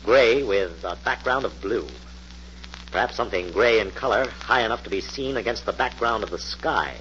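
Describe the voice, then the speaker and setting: An actor playing a confident, methodical scientist-sleuth with an American accent and a precise, authoritative manner, in 1940s radio style. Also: male voice, fairly low pitch, moderate pace, a bit bright, natural voice. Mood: businesslike. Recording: muffled, noisy old-time radio recording.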